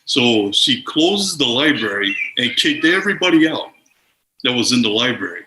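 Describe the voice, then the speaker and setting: Bright-voiced man telling stories. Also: medium pitch, normal speed. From Samoa. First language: Samoan. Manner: chuckling, lively anecdote telling. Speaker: guest sharing memories. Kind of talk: casual storytelling. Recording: echoey room with faint noise.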